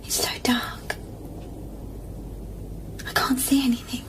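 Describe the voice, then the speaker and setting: A woman's voice: sits high, flat delivery, slow, fairly bright tone. An actress playing a lost, frightened young woman, ghostly and tentative, in an audio play. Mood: lost, fearful. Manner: breathy, whispering, tentative.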